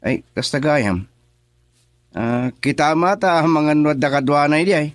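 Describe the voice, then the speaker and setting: A man's voice: a little high, unhurried, no echo, clean studio sound, natural voice. A man playing a teasing man, Cardo. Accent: Ilocano. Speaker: radio drama actor. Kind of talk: Ilocano radio drama acting.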